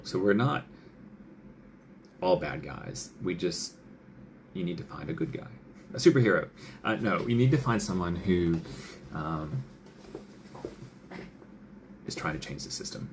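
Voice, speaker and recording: A man with a very low voice and a gravelly edge to it, talking as a doctor. He chats loosely, with lots of fillers, and slips into little acted-out lines of dialogue. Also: expressive, measured pace, pauses often, slight background noise. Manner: chatty, many fillers, acts out quoted patient and doctor lines. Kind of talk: casual advice talk.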